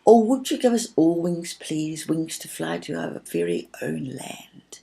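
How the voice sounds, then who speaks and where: A woman's voice: low voice, sing-song, a little slow, gravelly, dark tone, heavily acted. A woman voicing an earnest, wishful little fairy in a storybook, calling out pleadingly. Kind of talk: storybook character acting.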